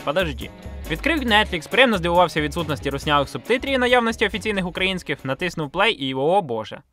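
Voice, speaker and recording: A man's voice: high-pitched, very animated, fast, pushed and bright. A man from Ukraine narrating a scripted video review in a blunt, sardonic, self-deprecating way, with slang and swearing. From Ukraine, grew up in a village. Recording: music under the voice. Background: background music.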